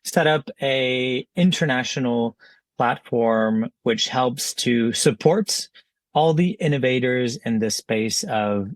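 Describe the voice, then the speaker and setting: A man's voice: medium pitch, dry recording. Man with a faint French accent. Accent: slight French. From France. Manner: measured, explanatory, with frequent fillers. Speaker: podcast guest. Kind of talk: interview answers.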